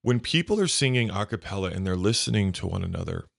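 Deep bass guy hosting a chat, gravelly edge, gushing and rambly. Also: lively intonation, fast. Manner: effusive, emotional and rambling. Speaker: podcast host. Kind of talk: casual conversation.

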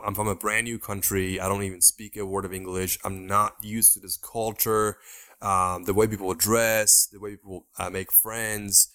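A man's voice: lowish voice, bright, forceful tone. A man in his twenties from Iran, a podcast guest telling his story steadily with frequent ums. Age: 20s. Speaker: guest YouTuber. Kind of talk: interview answers.

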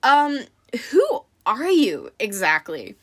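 A woman's voice: fairly high pitch, huge pitch swings, exaggerated voice. Woman playing an unsure young boy hero. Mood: wary. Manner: halting, unsure. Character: hesitant, polite, down-on-his-luck young boy hero.

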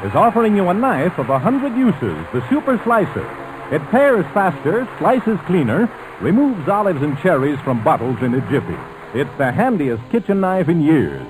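An American man working as a commercial announcer, giving a polished, salesmanlike 1940s radio advertising pitch on an old broadcast recording. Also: low-quality recording.

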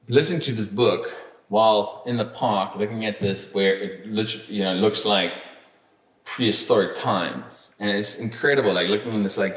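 A man's voice: on the low side, reverberant, low-quality recording. A man telling a travel story in casual podcast chat, rambling with fillers and restarts and swearing casually.

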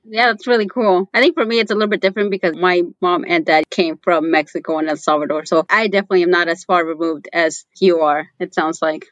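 A woman's voice: lowish voice, steady tone, fast, hardly any pauses, a bit bright, dry recording. A young woman in her twenties, a guest on a podcast, chatting in an easygoing way about her background. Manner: easygoing, talks in long runs with "like" and "you know". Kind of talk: casual conversation.

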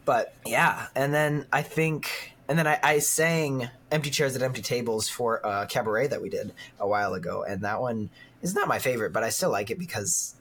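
A man's voice: medium pitch, normal speed, hardly any pauses, a bit bright. A man co-hosting a podcast, talking informally in casual, conversational discussion. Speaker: podcast co-host.

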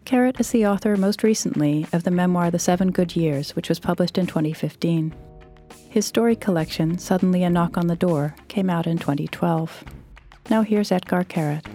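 A woman's voice: fairly low pitch, moderate pace, warm tone, faint music behind. A woman hosting a literary podcast, giving a scripted introduction. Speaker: host, magazine fiction editor.

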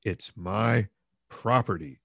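Man acting out a gravelly, furious drunken peasant brute. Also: lowish voice, expressive, a little slow, no echo, exaggerated voice. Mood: ferocious. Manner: forceful, frantic shouting.

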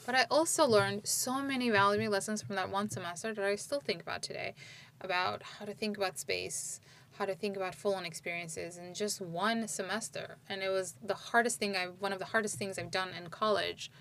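A woman in her forties who grew up in Turkey, speaking in a relaxed, conversational way. She rambles a little, circles back and restarts her sentences as she thinks aloud, with an everyday mid-range voice. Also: medium pitch, a little fast, gravelly. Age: 40s. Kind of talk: casual conversation.

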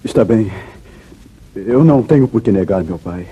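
An actor playing a defiant, cynical wayward son in a 1950s Brazilian radio drama. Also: male voice, on the low side, lively intonation, moderate pace, mellow, husky. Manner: cynical, defensive, insolent. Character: defiant, wayward adult son, a gambler in debt.

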